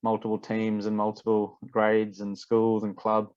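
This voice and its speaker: A man from Fiji, a rugby coach chatting as a podcast guest, relaxed and repeating words as he thinks. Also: lowish voice, unhurried, clean tone, dry recording, muffled recording. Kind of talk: casual interview chat.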